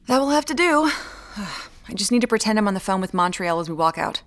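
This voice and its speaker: An actress plays a woman amateur detective in an audio drama. She is brisk and guarded, with a medium-pitched voice. Her delivery is curt, commanding and deadpan, edged with dry wit. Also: natural voice. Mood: dry, matter-of-fact.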